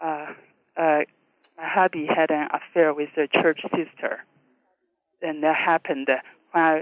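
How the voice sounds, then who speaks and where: A woman from China and Taiwan, calling into a radio show and speaking haltingly with many fillers. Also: low-pitched, flat delivery, dry recording. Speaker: caller. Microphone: telephone. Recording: tinny phone line.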